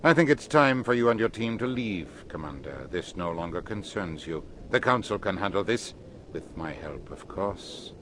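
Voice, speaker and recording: A self-serving middle-aged ambassador in a sci-fi game. His voice sits very low, with formal, condescending phrasing and a curt edge. Faint noise sits behind him. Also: lively intonation, moderate pace, a bit bright. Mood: stern, smug. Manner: formal, condescending political delivery. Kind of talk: dramatic video game acting.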